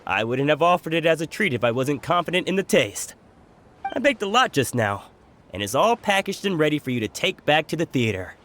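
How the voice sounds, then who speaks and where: An actor playing a jovial, generous food merchant with a hearty, teasing manner in a video game. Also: male voice, on the high side, sing-song, brisk, a bit bright, slight background noise.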